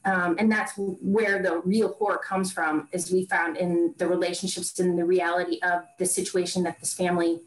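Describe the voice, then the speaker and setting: A woman's voice: lowish voice, little pitch movement, moderate pace, few pauses. A woman film director chatting in a video interview, talking with energy and repeating phrases for emphasis. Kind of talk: casual interview chat. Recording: echoey room.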